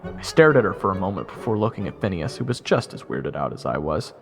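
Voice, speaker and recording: A man's voice: sits low, big pitch swings, fast talker, vocal fry, big-room sound, heavily acted. A man playing a wisecracking, easily flustered jester who narrates his own story in an audio drama. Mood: narrating. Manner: casual, wry, awkward. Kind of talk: first-person audio drama narration and dialogue. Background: music.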